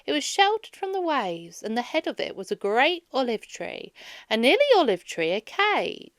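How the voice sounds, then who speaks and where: Animated, higher-pitched woman reading a storybook. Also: moderate pace, no room sound, studio quality. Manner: animated, dramatizes dialogue. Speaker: storyteller reading aloud for children. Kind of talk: expressive children's storybook read-aloud.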